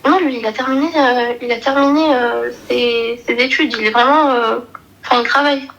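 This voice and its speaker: A woman's voice: a little high, fairly even intonation, moderate pace, fairly bright tone, rough recording. A woman in her twenties calling in for advice, telling a personal story hesitantly and searching for her words. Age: 20s. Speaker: caller seeking advice. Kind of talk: personal story told in casual conversation.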